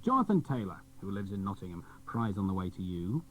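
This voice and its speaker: A man's voice: low-pitched, sing-song, brisk. A man presenting scripted links on a children's radio programme. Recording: muffled, band-limited old cassette radio recording.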